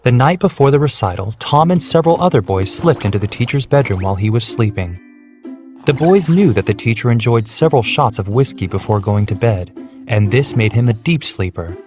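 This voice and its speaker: Male children's story narrator, medium pitch. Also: darkish tone.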